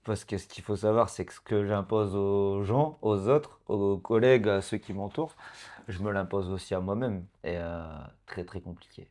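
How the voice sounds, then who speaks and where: A man's voice: on the low side, normal speed, dark tone. A man in his thirties born in Portugal, speaking as an interview guest, talking hesitantly with many fillers and laughs. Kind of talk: casual interview conversation.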